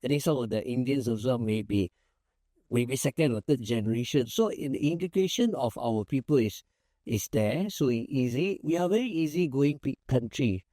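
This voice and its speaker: A man's voice: medium pitch, animated, normal speed, mellow, no room sound. A Malaysian man, a small firm's managing partner, answering interview questions in an easygoing, rambling way with many fillers and restarts.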